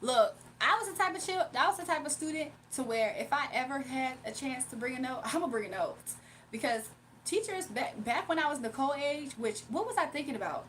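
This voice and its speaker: A woman's voice: high voice, expressive, fairly quick, a bit bright, slight background noise. A young woman streaming live, chatting casually with her viewers, laughing often and reading out chat messages.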